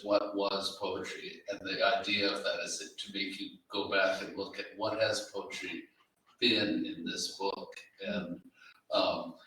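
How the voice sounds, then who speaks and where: A man speaking informally as an editor at a public literary event, in a hesitant, halting way with false starts. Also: lowish voice, a bit bright. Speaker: anthology editor and panel speaker. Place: reverberant library room, live event. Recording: very echoey room, distant mic, faint noise.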